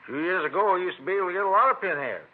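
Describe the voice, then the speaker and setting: An actor playing a rambling, querulous old father in a vintage radio crime drama, folksy and abrupt with a husky voice. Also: sits high, expressive, very fast. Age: older. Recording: tinny vintage radio recording.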